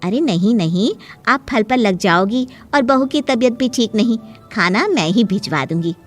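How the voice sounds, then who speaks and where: A woman's voice: fairly high pitch, expressive, normal speed, faint music behind. A woman as a chatty, insistent neighbour lady. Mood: friendly, insistent. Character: friendly, helpful middle-aged neighbour woman, chatty and insistent.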